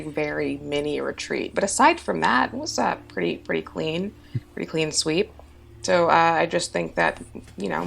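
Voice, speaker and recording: A woman's voice: sits low, gravelly, a bit bright, slight background noise. A woman chatting casually as a player on a podcast panel. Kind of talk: casual chat.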